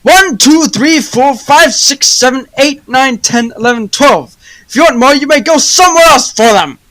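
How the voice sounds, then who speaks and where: A teenage actress playing a proud, stingy male baker in a high school radio play, with an emphatic, scolding delivery. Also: expressive, moderate pace, fairly bright tone, low-quality recording. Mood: angry, stubborn.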